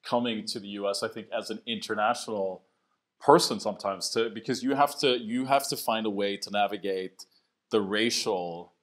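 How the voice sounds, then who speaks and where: A man's voice: lowish voice, moderate pace, a bit bright. A man from Sweden with a mid-Atlantic accent that has hints of Swedish, talking thoughtfully as a podcast guest and often repeating his first words. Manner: thoughtful, repeats words when starting sentences. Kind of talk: reflective conversational interview.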